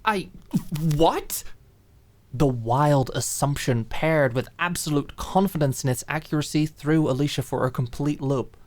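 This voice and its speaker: A woman narrating a dramatic audiobook in measured, deliberate phrases with pauses. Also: very deep voice, a little mellow.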